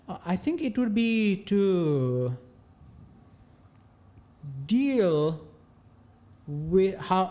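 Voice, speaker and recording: A man from Nepal in his thirties with a Nepali accent, a guest talking through his experiences in a casual interview, pausing often and filling gaps with "uh" and "you know". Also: on the high side, mellow. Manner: thoughtful, hesitant, many fillers like "uh" and "you know". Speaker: podcast guest.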